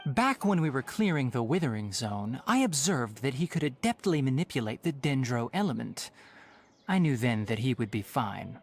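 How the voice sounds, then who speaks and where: Young male game ranger: scholarly, dutiful, wry, articulate, animated intonation. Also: mid-range voice, faint music behind. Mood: earnest, solemn. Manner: articulate, measured, explanatory, slightly wry. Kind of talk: video game voice acting.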